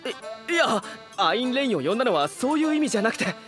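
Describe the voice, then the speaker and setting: A man's voice: very high-pitched, big pitch swings, quick pace, a bit bright, exaggerated voice. A man voicing a polite, earnest, easily flustered young man in an anime game. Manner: courteous, boyish, flustered. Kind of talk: Japanese anime game voice acting. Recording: background music behind the voice.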